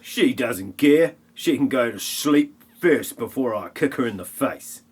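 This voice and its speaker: A man's voice: mid-range voice, animated, measured pace, slightly rough. A man playing a bossy, irritable household grump in an amateur parody audio play, scolding in a blunt, stilted way. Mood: irritated.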